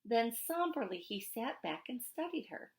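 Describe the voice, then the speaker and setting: A woman reading a storybook aloud in an expressive narrating style. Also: on the high side, unhurried. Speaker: storybook reader.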